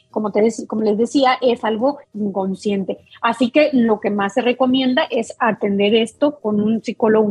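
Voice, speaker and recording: A woman's voice: fairly high pitch, darkish tone, breathy edge, dry recording, rough recording. A woman doctor, explaining a topic patiently as a guest on a talk show. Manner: explains patiently, with many fillers and restarts.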